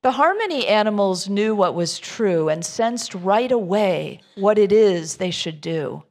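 Middle-aged woman storyteller, slightly low and gravelly, unhurried, expressive delivery to a congregation. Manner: measured, expressive storytelling with informal asides to listeners. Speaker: minister. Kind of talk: reading a children's rhyming storybook aloud to a congregation.

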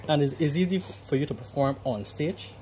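A woman with an extremely low, mellow voice puts casual questions as an interviewer. There is faint background noise from outdoors. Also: animated, brisk, poor audio.

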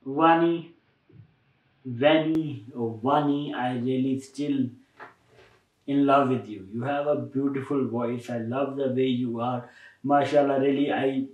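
A man's voice: medium pitch, a little mellow. A young man with a Pakistani accent, hosting a reaction video with eager, repetitive appeals to his viewers. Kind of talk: casual reaction commentary. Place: bedroom indoors. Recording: roomy sound, mic at a distance.

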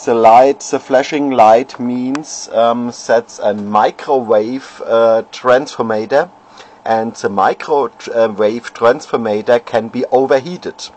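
A man, an amateur radio operator showing his homebrew gear at home, pausing often and searching for words. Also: mid-range voice, fairly even intonation, darkish tone, slight background noise. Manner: halting, many fillers, searching for words. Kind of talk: casual equipment demo. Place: home radio shack, indoors. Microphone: handheld camera.

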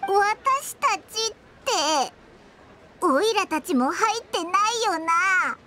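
A woman's voice: very high voice, animated, takes it slowly, pushed and bright, heavily acted. A woman voicing a small, chatty, easily spooked fairy companion with brash boyish speech in an anime game. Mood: indignant, spooked. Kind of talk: Japanese anime game voice acting. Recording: game music under the voice.

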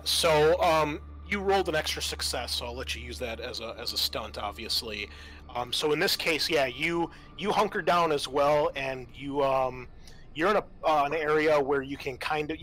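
A man narrating a tabletop roleplaying game as game master, explaining things in a halting, improvised way. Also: fairly high pitch, bright, forceful tone, rough recording.

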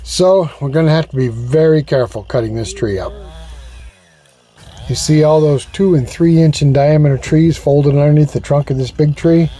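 Calm man explaining chainsaw work outdoors. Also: on the high side, moderate pace. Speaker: woodcutter host. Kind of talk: instructional outdoor narration. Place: outdoors in a forest.

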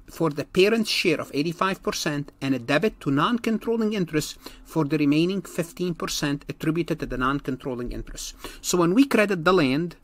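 Male accounting lecturer, slightly high voice, emphatic explainer who repeats key points, dry close recording. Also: a bit bright, dry recording. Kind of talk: exam-prep lecture explaining worked examples.

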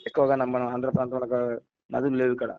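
A man from Telangana speaks Telugu in his regional accent, rattling along quickly with an average pitch. He is an earnest caller in a casual chat and often repeats himself. The phone audio sounds dull and narrow. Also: completely flat delivery, a little hiss or hum. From Telangana, India. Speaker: caller, local voter. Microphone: phone call. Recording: dull, band-limited phone call audio.

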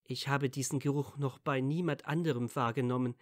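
A man's voice: medium pitch, steady tone, brisk, no room sound, studio quality, natural voice. A man playing a playfully whiny, smitten young wizard student in a fan audio drama. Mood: tender, smitten.

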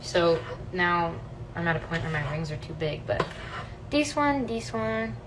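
A woman's voice: fairly low pitch, sing-song, unhurried. A young woman chatting casually on a livestream in her home kitchen, reading fan questions aloud and answering them thoughtfully with many "um"s. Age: young adult. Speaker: singer hosting a livestream. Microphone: phone held close, front camera. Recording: distant phone audio with background noise.

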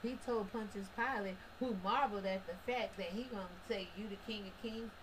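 A woman preaching in a loose, colloquial way, firing rhetorical questions at her listeners and stressing points hard. Her voice sits at an ordinary pitch for a woman. A clear wash of background noise runs under the home recording. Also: brisk. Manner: emphatic, rhetorical, addresses listeners directly with frequent asides; casual colloquial speech with restarts and short laughs. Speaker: online religious commentator. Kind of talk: preachy religious commentary monologue.

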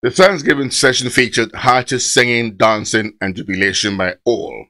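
A man reading a formal news voice-over in a measured way. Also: medium pitch, very noisy. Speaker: news narrator.

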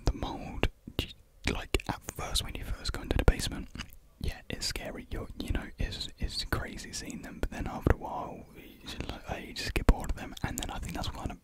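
A young man speaks in a breathy whisper close to the microphone, rambling softly and hesitantly in a casual ASMR chat. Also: brisk, hardly any pauses. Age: young adult. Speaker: ASMR video creator. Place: small room with painted block walls. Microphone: large desktop USB condenser microphone, close up.

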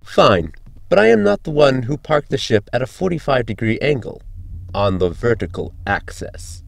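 A man playing a pedantic, practical computer-man crewmate in a sci-fi comedy audio drama, deadpan and literal with a gravelly voice. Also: mid-range voice, moderate pace, no room sound. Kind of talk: science fiction comedy audio drama acting.

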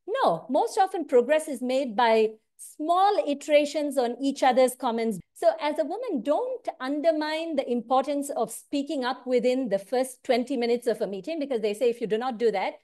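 An earnest, animated woman in her forties, originally from India, speaking as a senior business leader. Her voice sits a little high and she keeps checking in with "right?" as she explains. The sound comes through an earphone mic on a video call from a home room. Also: moderate pace, few pauses. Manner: earnest, animated, often tags lines with "right?". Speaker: consulting firm managing partner. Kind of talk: direct-to-camera talk on career and work. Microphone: wired earphone mic on a video call.

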